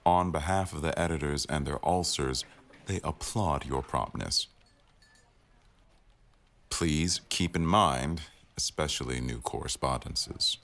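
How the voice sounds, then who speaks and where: An actor playing an exacting, sardonic executive news producer with a dry, authoritative delivery in a scripted audio roleplay addressed to the staff. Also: male voice, very deep voice, fairly bright tone, exaggerated voice. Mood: stern, businesslike.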